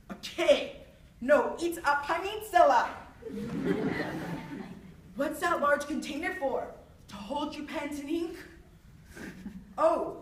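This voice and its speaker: A woman's voice: high voice, background noise. A young woman playing a proud, witty, mocking swordsman poet in a theatrical monologue, haughty and taunting as she declaims. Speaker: student actor. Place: echoey room, far from the microphone. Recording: roomy echo, distant microphone.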